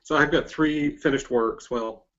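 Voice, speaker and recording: A man with an American accent hosting a casual interview, speaking in an informal, somewhat halting conversational way. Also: fairly high pitch, a bit bright, dull audio. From southern US or Mexico border region (Texas, Oklahoma area). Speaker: podcast host and interviewer.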